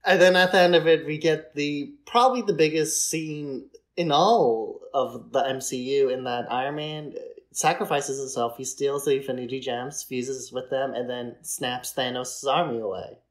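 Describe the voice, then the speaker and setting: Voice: medium pitch, steady tone, moderate pace. Casual podcast co-host chatting about films. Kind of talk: casual film discussion.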